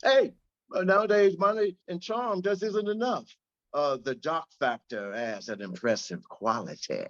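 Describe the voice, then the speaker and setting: A man's voice: on the high side, unhurried, fairly bright tone. A man reading the part of a cocky, bantering ladies' man who teases his buddy in a script read. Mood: teasing, breezy. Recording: dull lo-fi sound.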